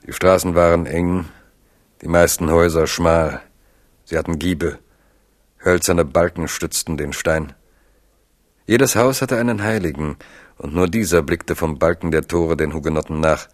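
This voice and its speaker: A man narrating a literary radio drama in a measured, expressive storytelling manner. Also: sits low, no echo, studio quality.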